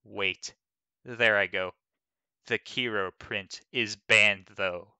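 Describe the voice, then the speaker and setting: A man reads written text aloud as if on stage, in a slightly low voice with a very bright, crisp edge. His delivery is slow, measured and dramatic, and the recording is dry and close. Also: fairly even intonation, dry recording. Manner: theatrical, deliberate, measured delivery. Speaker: dramatic reader of an online comment. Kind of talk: dramatic scripted reading.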